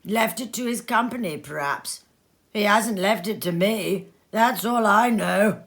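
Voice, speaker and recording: A woman's voice: medium pitch, a little slow, creaky, fairly bright tone. A woman voicing a great fat businessman in a storybook reading, offhand, jocular and callous, with a bored drawl. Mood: callously amused.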